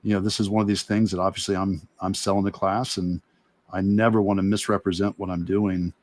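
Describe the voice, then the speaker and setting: Low-voiced man talking reflectively. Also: level tone, brisk, dry recording. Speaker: artist and illustration teacher, guest. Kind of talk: casual livestream discussion.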